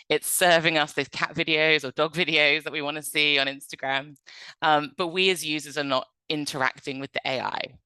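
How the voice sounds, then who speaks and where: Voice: mid-range voice, sharp tone, clear, resonant tone, no room sound, poor audio. A presenter from England, giving an informal webinar in a conversational, explanatory manner. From North Devon, England.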